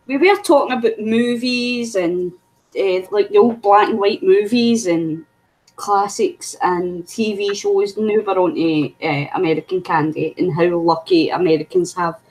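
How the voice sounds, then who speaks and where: A woman's voice: mid-range voice, measured pace, darkish tone, poor audio. A woman co-hosting a live stream, chatting in a laid-back way and reading out viewer comments. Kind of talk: casual chat.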